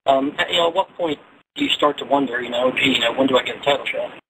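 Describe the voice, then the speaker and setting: Mid-pitched male reporter on a noisy call. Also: quick pace. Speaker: reporter asking questions. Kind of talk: press call questioning. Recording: noisy conference phone call.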